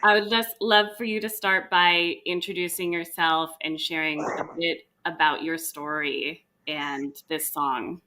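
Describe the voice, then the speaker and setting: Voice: mid-range voice, steady tone, unhurried, few pauses, sharp tone, a little hiss or hum. An interview host opening a live online session on a video call, upbeat and enthusiastic with the odd um. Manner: enthusiastic, upbeat, occasional ums.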